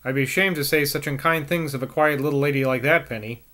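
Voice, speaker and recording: A man's voice: a little high, fast talker, sharp tone. A man reading aloud and voicing an earnest, easily embarrassed young man, a clergyman's son. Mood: flustered.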